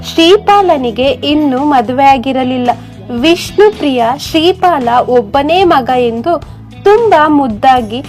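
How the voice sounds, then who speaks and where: A woman's voice: sits high, darkish tone. A woman reading a scripted moral story as a storyteller. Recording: music behind the voice. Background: music.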